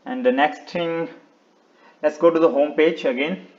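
A man with a slightly high voice narrates a screen tutorial step by step. He speaks in short, halting phrases and often repeats himself. The recording is dull and lo-fi. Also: fairly even intonation. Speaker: video tutorial presenter. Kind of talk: step-by-step website walkthrough, unscripted screen narration.

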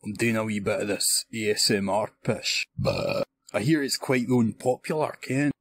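A man's voice: on the low side, big pitch swings, moderate pace, vocal fry. A man playing a crude, short-tempered Scottish man with a heavy Scottish accent, swearing constantly in a comedy sketch that mocks ASMR. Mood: grumbling.

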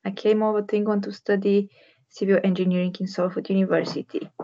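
This is a woman in her twenties with a Bulgarian accent. She gives an informal careers talk to camera in a flat, even tone, her pitch moving very little. The sound is slightly dull and lo-fi, as from a computer's webcam microphone. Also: fast talker. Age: 20s. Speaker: civil structural engineer, careers ambassador. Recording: dull, muffled webcam audio.

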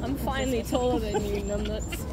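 A woman chatting playfully with friends outdoors in an excitable, exclaiming way. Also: fairly high pitch, moderate pace. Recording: loud music over outdoor clips.

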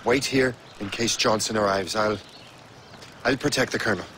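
An actor playing an earnest young fighter who speaks directly. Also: male voice, lowish voice, moderate pace, bright, forceful tone, a little hiss or hum. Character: young Irish-born assassin turned ally, earnest.

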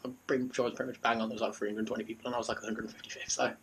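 A man giving casual asides to a handheld camera, his voice slightly croaky after exercise. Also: medium pitch, flat delivery, very fast, fairly bright tone. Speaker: vlogger. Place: home room and outdoors. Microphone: handheld action camera. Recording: noisy action-camera sound.

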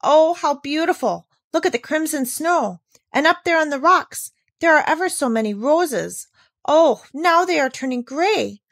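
A woman voicing an eager, warm-hearted little girl with bright, breathless exclaiming. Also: high voice, expressive, unhurried, no room sound, pitched above the performer's own voice, heavily acted. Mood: awestruck.

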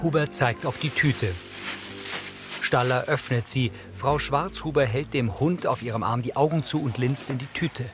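A man's voice: mid-range voice, normal speed, over music. A man narrating a film's audio description in terse, scripted present-tense sentences.

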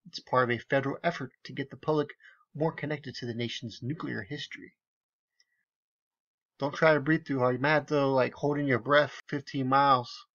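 A man delivers casual commentary over screenshots in an incredulous, sarcastic tone, punching his asides with emphasis. His voice sits in an ordinary middle pitch, and the recording sounds slightly dull and lo-fi. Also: fairly even intonation, normal speed, a bit bright. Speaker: video commentator.

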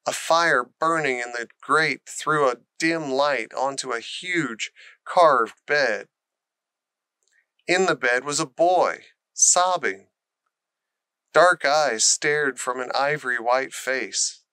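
A man's voice: medium pitch, slow, sharp tone, clean tone, no room sound. A man reading a children's story aloud as scripted narration. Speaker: storybook reader.

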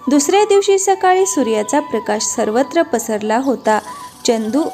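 High-voiced female story narrator. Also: a little mellow. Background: light background music.

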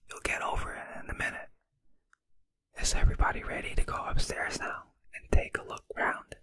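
Reader as elderly storybook grasshopper, male, optimistic and reassuring, speaking in breathy whispers. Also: normal speed, sharp tone, no room sound, natural voice. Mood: cheerful, reassuring. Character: old male grasshopper, kindly, cheerful, reassuring.

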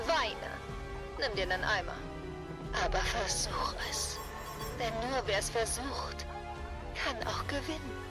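A wise female oracle from a video game, with a high voice and a measured, emphatic delivery. She is a steadfast, encouraging mentor, heard over clear background music. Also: lively intonation. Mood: encouraging, solemn. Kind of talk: video game voice acting, German dub.

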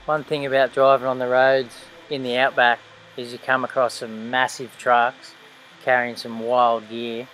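A man's voice: medium pitch, flat delivery, measured pace, fairly bright tone, faint music behind, low-quality recording. A young man from Australia narrating a travel vlog in an easygoing, laid-back way, recorded on an action camera. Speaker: vlogger.

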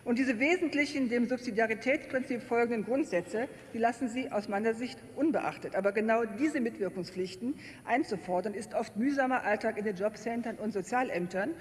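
Woman from the Rhineland giving a parliamentary speech. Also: a little high. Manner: measured, argumentative, occasional self-deprecating asides. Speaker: member of a state parliament. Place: large plenary hall. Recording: echoing plenary hall, faint noise.

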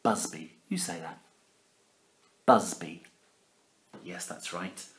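A man's voice: low voice, slow, fairly bright tone, a little hiss or hum. A middle-aged man with an English accent reads a picture book theatrically, with exaggerated emphasis and chatty asides to children. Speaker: primary school teacher reading a storybook. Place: living room at home, indoors. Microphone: camera at about arm's length. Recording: echoey room.

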